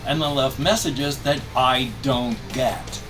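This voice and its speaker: A man's voice: medium pitch, fairly even intonation, slow pace. An older man talking in a casual personal vlog, rambling and stressing his words emphatically. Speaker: video creator. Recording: distant mic, music behind. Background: music.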